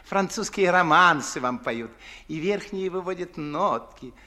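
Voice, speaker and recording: An actor playing a pompous, garrulous Moscow gentleman in middle age, declaiming fussily in the theatrical manner of 1950s stage comedy. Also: sits high, lively intonation, unhurried, full character voice. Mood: amused, mocking. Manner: theatrical, declamatory, fussy, swooping emphasis. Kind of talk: 1950s Russian classical stage comedy acting.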